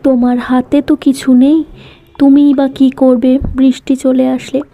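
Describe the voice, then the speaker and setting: A woman's voice: sits high, flat delivery, normal speed, mellow. A woman voicing a farmer's practical, reassuring village wife in a cartoon, soothing and resigned in manner. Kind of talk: cartoon story dialogue. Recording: music behind the voice.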